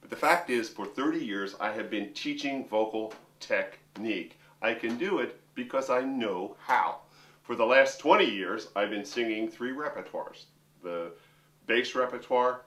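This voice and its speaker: A man's voice: fairly low pitch, a bit bright. A middle-aged man, a vocal coach and opera singer, giving a chatty, joking lesson straight to his viewers from a room with a piano. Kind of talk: instructional talk to camera. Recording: roomy sound, mic at a distance.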